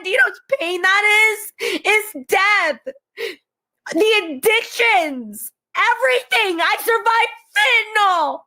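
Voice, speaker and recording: A woman's voice: very high-pitched, sharp tone. A tearful woman in an emotional livestream rant, agitated and pleading with her viewers.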